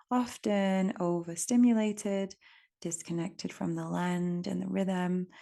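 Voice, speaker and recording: A woman from England with an English accent, hosting a reflective solo podcast in a gentle, unhurried, contemplative way. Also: on the low side, vocal fry. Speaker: podcast host.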